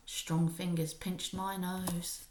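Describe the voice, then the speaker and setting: A woman reading a children's story aloud like a primary school teacher, with expressive, emphatic dramatic narration. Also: fairly low pitch, takes it slowly, reverberant, a little hiss or hum.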